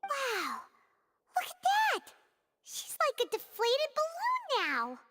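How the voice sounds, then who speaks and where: A woman voicing a tiny, excitable fairy companion who cheers and teases in a video game. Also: very high-pitched, takes it slowly, heavy background noise, heavily acted. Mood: teasing. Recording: game audio over loud music with reverb.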